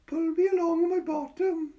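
A man's voice: extremely high pitch, fairly even intonation, normal speed, mellow, dull audio, pitched above the performer's own voice. A man voicing a cheeky, playful little boy in a storybook reading. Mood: playful, excited.

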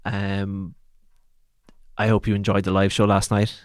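A man hosting a podcast, easygoing in chat and brisk in his sign-off. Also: on the low side, completely flat delivery, clear, resonant tone, no echo, clean studio sound. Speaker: podcast host. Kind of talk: casual chat.

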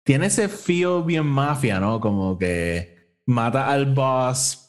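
A man's voice: medium pitch, lively intonation, slow. A Puerto Rican man co-hosting a podcast, casually recapping a story and slipping between Spanish and English. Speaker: podcast co-host.

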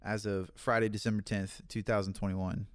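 A man in his thirties chatting casually as a podcast guest, easygoing and quick to laugh. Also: fairly low pitch, flat delivery, dry recording, studio quality. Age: 30s. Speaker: podcast guest, online campus pastor.

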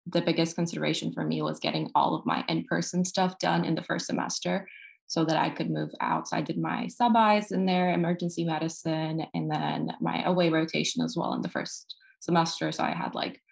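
Female panelist from Texas, chatty, low and gravelly. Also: brisk. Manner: relaxed, chatty. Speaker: medical graduate panelist. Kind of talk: casual panel talk.

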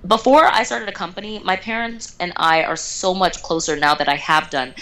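A woman from Houston, Texas, telling her story in an animated, conversational way as an interview guest. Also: lowish voice, normal speed, low-quality recording. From Houston, Texas, United States. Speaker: interview guest, startup founder.

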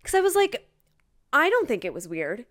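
A woman's voice: high voice, wildly swooping pitch, moderate pace, fairly bright tone. A woman co-hosting a podcast in casual chat, gushing and emphatic, with lots of informal filler words. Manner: frequent "like" filler, informal youthful phrasing. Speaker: podcast co-host. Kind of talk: casual chat about a romance novel.

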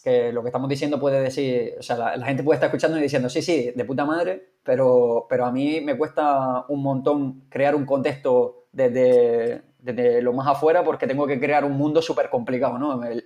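A man's voice: medium pitch, fairly even intonation, moderate pace, few pauses, dark tone. A man chatting casually as a podcast co-host, thinking aloud with frequent restarts. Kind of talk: casual chat.

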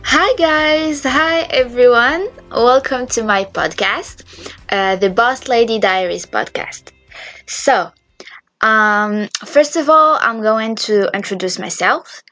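Slow-talking young woman, Arabic native. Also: on the high side, a bit bright, soft background music. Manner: chatty, hesitant with many fillers and restarts. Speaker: podcast host. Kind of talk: casual solo podcast monologue.

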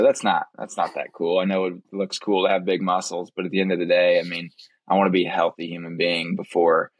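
A man's voice: fairly low pitch, no echo. A young adult man telling personal stories as a podcast guest in a casual, candid and talkative way. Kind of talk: casual conversational personal storytelling.

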